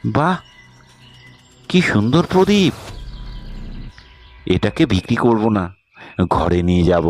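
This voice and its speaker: A man's voice: fairly low pitch, very animated, slow, soft background music, pitched above the performer's own voice. A man voicing a poor, innocent young scrap-picker in a fairy-tale cartoon, hesitant and full of wonder. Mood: delighted.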